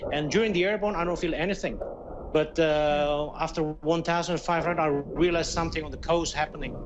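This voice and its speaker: A man with an Indonesian accent speaks in a fairly high voice over a dull Skype connection. He is being interviewed as a guest and answers haltingly, searching for his English words. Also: fairly even intonation, background noise. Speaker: airline pilot, interview guest. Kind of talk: live news interview. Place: plain indoor room. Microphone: video call.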